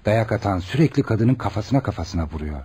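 A man's voice: fairly low pitch, expressive, fairly quick, dark tone, husky. An actor playing an earnest, deferential junior male doctor in a radio drama, explaining his reasoning with emphasis. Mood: earnest, indignant.

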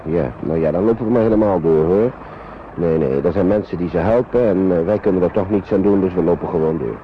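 A man's voice: sits low, fairly quick, close to an everyday voice. An actor playing a responsible, kindly man shepherding children in a radio drama, reassuring but hesitant, repeating himself. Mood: firm, protective. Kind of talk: old Dutch radio play acting. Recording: muffled old radio recording, faint noise.

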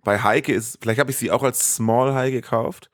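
A man's voice: mid-range voice, steady tone, normal speed, no room sound, studio quality. A man co-hosting a podcast in casual chat, playful and rambling, often interrupting himself. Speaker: podcast co-host.

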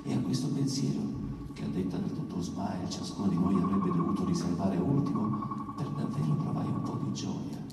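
A man's voice: low-pitched, expressive, normal speed. Solemn man reading in a reverberant hall. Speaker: poet performing own text. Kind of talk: literary dramatic reading at a performance. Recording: very echoey hall, distant mic, noisy.

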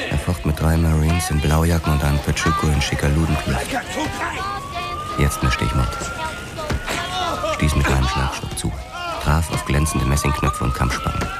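An actor playing a tough boxer-cop who narrates his own past in a gritty, measured way in a radio drama. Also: very deep voice, full character voice. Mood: grim, tense. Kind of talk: scripted first-person narration, hardboiled radio drama. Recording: loud music and effects behind.

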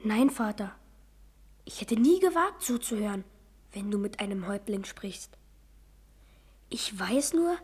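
A woman playing a brave, dutiful young Lakota boy in a German radio play, with a breathy, husky voice. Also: high voice.

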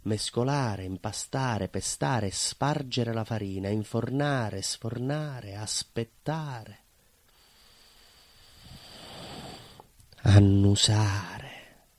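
Low-voiced, proud baker. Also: male voice. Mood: hushed, reverent. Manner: emphatic, repetitive phrasing with deliberate pauses. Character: proud, plain-spoken northern-born Calabrian baker, stubborn and nostalgic. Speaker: stage actor. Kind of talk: theatrical monologue, colloquial storytelling.

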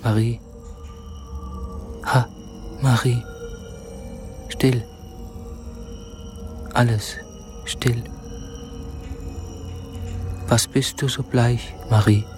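An actor playing a tormented, brooding murderer in a radio drama, speaking haltingly and often dropping into muttered whispers. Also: male voice, mid-range voice, flat delivery, music in the background. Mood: dazed, eerie. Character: tormented, brooding man turned murderer of his lover. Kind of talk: literary radio drama acting.

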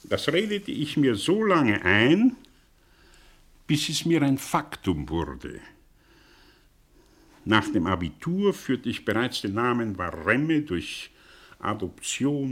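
A man's voice: medium pitch, big pitch swings, slow pace, fairly bright tone, husky, heavily acted. An actor playing a vain, bitter, brilliant middle-aged man in a radio drama, speaking in a theatrical, declamatory way with broken, emphatic phrases. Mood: confessional, intense. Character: vain, bitter, brilliant man disowning his own origins. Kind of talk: mid-century German radio drama monologue.

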